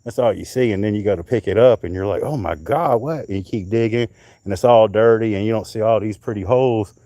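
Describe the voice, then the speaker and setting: A man's voice: lowish voice, a little fast, mellow. A middle-aged man, rambling casually on a livestream while showing off things he found, swearing as he goes. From North Carolina, US. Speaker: livestream host. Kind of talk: casual livestream show-and-tell. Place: outdoors at night in summer heat.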